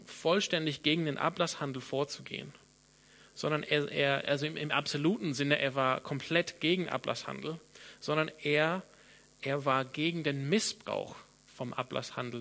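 Gravelly pastor with an Australian accent. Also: male voice, mid-range voice, bright, forceful tone. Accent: Australian English. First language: English. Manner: thinking aloud with restarts and repeated words. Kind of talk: sermon.

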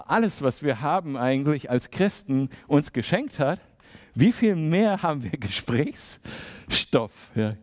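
A man giving a sermon in a relaxed, conversational way, with many fillers and little acted-out exchanges. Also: fairly high pitch. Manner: conversational, acts out small dialogues. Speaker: preacher.

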